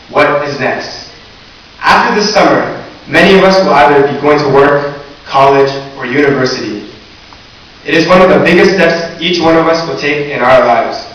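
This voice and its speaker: A man's voice: a little high, a bit bright. A teenage man delivering a graduation speech as valedictorian, earnest with a joking touch. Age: teens. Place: large echoey hall or gym. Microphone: recorded from far off in the audience. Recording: distant echoey hall recording with hiss.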